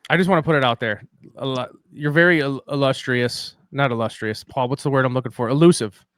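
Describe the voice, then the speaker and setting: A man's voice: medium pitch, a little fast, fairly bright tone, smooth. A male podcast host rambles and cracks jokes at his own expense in a loose, casual way. His voice sits mid-range and sounds dry, with little room echo. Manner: rambling, joking, self-deprecating.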